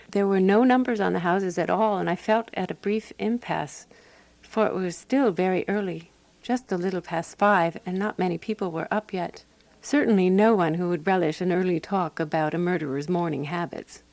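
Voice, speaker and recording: An actress playing a composed, wry woman sleuth narrating a radio mystery in measured, scripted storytelling. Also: on the low side, a little mellow, no room sound, lightly acted.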